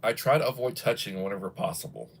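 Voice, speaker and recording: A man's voice: on the low side, expressive, fast talker, gravelly, slight background noise. A man in his early sixties, chatting casually on a livestream, rambling and folksy as he reads viewer comments aloud. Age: 60s. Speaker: livestream host.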